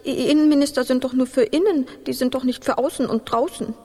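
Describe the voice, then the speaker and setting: A man's voice: very high voice, fairly even intonation, fast, warm tone, in a large hall. An actor playing a timid, flustered young male mouse in a children's radio play, stammering and whiny. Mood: flustered protest.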